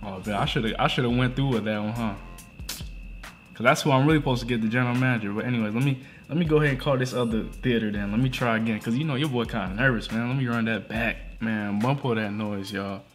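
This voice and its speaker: A man's voice: mid-range voice, fast, faint music behind. A young American man with a slangy way of talking, chatting casually and making prank calls as a vlog host. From Atlanta, United States. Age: young adult. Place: living room at home.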